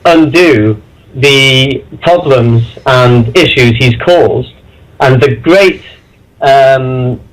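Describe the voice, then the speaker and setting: A man's voice: medium pitch, drawn-out words, rough recording. Emphatic male caller. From England (Leeds). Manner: emphatic, indignant, uses stock phrases. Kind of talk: phone-in call.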